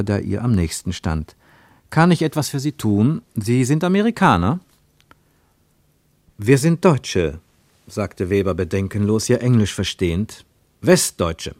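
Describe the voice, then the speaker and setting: Male radio drama narrator, lowish voice, dry close sound, richly varied intonation for literary prose. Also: no room sound. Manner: expressive storytelling.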